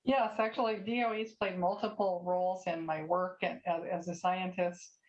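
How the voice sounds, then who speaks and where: A woman's voice: on the low side, fairly even intonation, normal speed, a little mellow, muffled recording. A woman scientist answering panel questions over a video call in a composed, articulate way.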